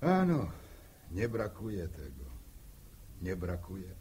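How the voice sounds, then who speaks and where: An actor playing an easygoing older village farmer in an old radio drama, with a husky voice and rustic phrasing. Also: male voice, deep voice, wildly swooping pitch, warm tone, slight background noise, full character voice. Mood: resigned. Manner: unhurried, rustic folksy phrasing. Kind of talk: 1960s radio drama acting.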